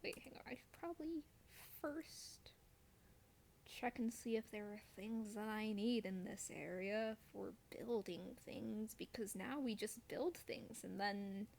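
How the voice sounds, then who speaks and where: A woman's voice: medium pitch, a little slow, vocal fry, darkish tone, a little hiss or hum. A woman streamer chatting casually over gameplay in a playful, wryly self-deprecating way.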